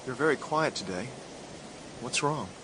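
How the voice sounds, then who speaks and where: A man's voice: medium pitch, animated, measured pace, noisy background, close to an everyday voice. An actor playing a curt young male passenger in a radio drama, blunt and impatient.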